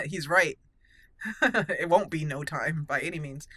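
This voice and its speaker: Bright-voiced woman chatting through a game. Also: low-pitched, takes it slowly, slightly rough, no echo, studio quality. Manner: chatty, thinking aloud. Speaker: let's play host. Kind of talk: casual roleplay game commentary.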